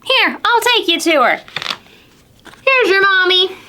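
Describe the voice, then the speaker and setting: A woman voicing an eager, helpful little squirrel in a high, chirpy voice. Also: sing-song, takes it slowly, exaggerated voice. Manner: chirpy, bright, eager to help.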